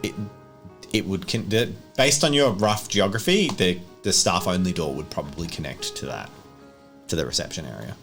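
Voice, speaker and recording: Lowish-voiced male game master. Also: animated, normal speed, fairly bright tone, faint music behind. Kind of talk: improvised tabletop game narration and rules talk.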